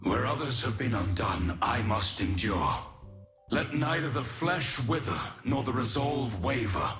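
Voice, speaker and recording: An actor playing an ancient, weary and fierce godlike warrior in a video game, speaking solemnly and grandly. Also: male voice, mid-range voice, expressive, fairly bright tone, soft background music. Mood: weary, resolute. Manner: solemn, grandiose, deliberate delivery. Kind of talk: dramatic video game voice lines.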